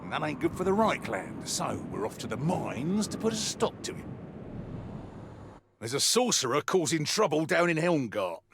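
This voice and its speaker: A wry, world-weary mercenary soldier briefs the player in a video game. His carries blunt, colloquial grumbling. The pitch sits a little high for a man and moves around animatedly, over faint background noise. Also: big pitch swings, moderate pace. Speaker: video game character voice actor. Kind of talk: scripted in-character mission briefing monologue.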